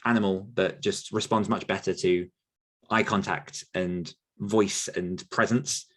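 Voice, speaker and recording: A man's voice: sits low, fairly even intonation, measured pace, fairly bright tone. A man, answering listener questions on a podcast in a rambling, self-correcting way. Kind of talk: casual Q&A chat.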